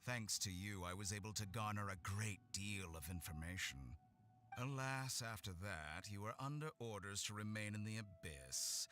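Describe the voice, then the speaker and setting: An actor playing a sinister, condescending male scientist in a game cutscene, with slow, menacing politeness. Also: fairly low pitch, sharp tone, faint music behind. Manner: smooth, drawn-out, menacing politeness. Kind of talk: video game cutscene voice acting.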